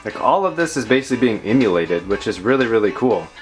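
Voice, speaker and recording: A man's voice: fairly low pitch, big pitch swings, fast, music in the background. A man hosting a casual tech show-and-tell, talking informally in rambling asides. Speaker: tech video host.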